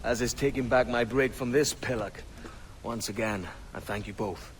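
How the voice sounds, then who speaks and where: An actor playing a brash, defiant, ambitious pirate captain in a dramatic video game cutscene. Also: male voice, mid-range voice, normal speed, background noise, lightly acted. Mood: gruff, businesslike.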